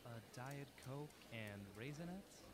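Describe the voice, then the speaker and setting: A man's voice: mid-range voice, animated, takes it slowly, heavy background noise. A man playing an eager young film fan in an audio drama, chatting in a friendly, teasing way. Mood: teasing, easygoing. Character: eager young male film fanatic, devoted son and friend.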